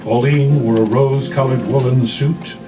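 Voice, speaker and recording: A man reading a story aloud as scripted narration at a live event, in a measured, dramatic way. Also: medium pitch, steady tone, music in the background. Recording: distant mic, noisy live room.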